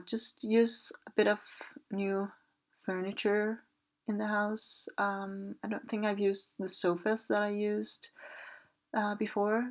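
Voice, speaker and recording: A young woman from Denmark, a Danish native speaker, chatting softly and hesitantly with many fillers while she narrates a building session. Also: medium pitch. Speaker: Sims builder and YouTuber. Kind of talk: casual voice-over while building in a game.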